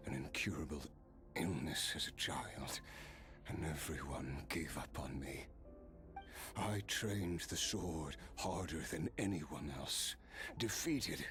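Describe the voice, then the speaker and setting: An actor playing an ancient cursed nobleman swordsman in a game, telling his story in a halting, weary, measured way. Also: extremely low pitch. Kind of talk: dramatic game dialogue, slow storytelling.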